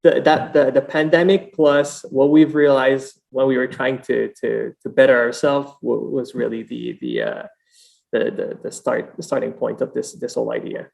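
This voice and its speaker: A man from Montreal with a French-Canadian accent, a native French speaker, talking casually and haltingly as an interview guest. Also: medium pitch, creaky, darkish tone, rough recording. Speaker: podcast guest, startup founder.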